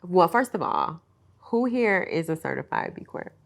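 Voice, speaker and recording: A woman moderating a panel, asking questions in a warm conversational flow and affirming answers. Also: fairly low pitch, sing-song, normal speed, vocal fry, very noisy. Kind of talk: panel discussion.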